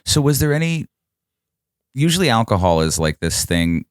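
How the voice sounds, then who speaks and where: A man's voice: mid-range voice, very animated, moderate pace, smooth, no room sound, clean studio sound. A man hosting a podcast, asking probing questions in a casual, joking way. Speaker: podcast host. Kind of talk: casual interview chat.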